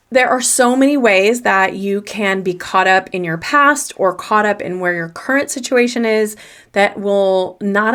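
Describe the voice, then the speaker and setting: Woman w a slight southern accent, podcast coach giving pep advice, mid-pitched voice, earnest and encouraging. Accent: faint Southern US. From Southern United States. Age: young adult or older. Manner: earnest, encouraging, repeats key phrases. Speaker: podcast host and confidence coach. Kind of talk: motivational solo podcast talk.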